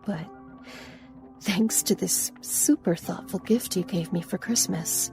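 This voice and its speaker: An actress playing a tender, reassuring young woman in love in an intimate audio drama, with soft, breathy murmurs. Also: on the low side, slow, faint music behind. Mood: loving.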